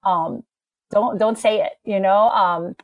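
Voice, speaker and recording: A woman in a casual podcast discussion, talking in an earnest, measured, reflective way. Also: mid-range voice, fairly even intonation, no echo, narrow, tinny audio. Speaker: podcast panelist and parent.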